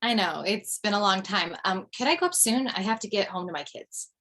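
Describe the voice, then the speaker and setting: Woman playing a restless mom, bright voice. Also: mid-range voice, animated, a little fast. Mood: hopeful, polite. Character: restless widowed mother, middle-aged, wry. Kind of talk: amateur table read acting. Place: remote video call table read. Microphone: video call.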